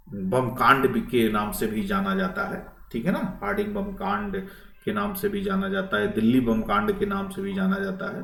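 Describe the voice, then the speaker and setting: Echoey man teaching. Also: lowish voice. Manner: instructive, repetitive, frequent rising check-in tags. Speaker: teacher, exam-prep history lecturer. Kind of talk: informal lecture reviewing exam questions. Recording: echoey room, distant microphone.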